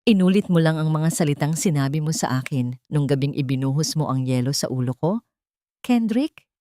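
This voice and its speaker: A woman performs a betrayed wife in an audio storybook, cold, measured and quietly vengeful. The voice is Filipino-accented and a little low, in a dry recording. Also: animated, no room sound. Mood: judging.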